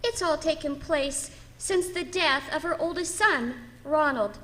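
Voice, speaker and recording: Casting: polite, solemn housekeeper-like lady on the phone, high-pitched, restrained radio-drama delivery. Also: a little slow, fairly bright tone. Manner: polite, reserved, matter-of-fact. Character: formal, solemn woman answering a family's household telephone. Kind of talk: old-time radio drama acting.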